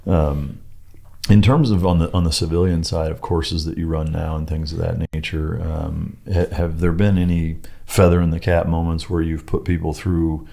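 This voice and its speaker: Deep voiced guy interviewing someone, casual, kinda halting as he works up to his point. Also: flat delivery, vocal fry, dark tone. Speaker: podcast host, interviewer.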